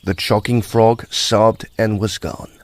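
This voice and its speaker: A man giving a theatrical, measured recitation of verse. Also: lowish voice, level tone, no echo. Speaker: poetry reader. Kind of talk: dramatic poetry recitation.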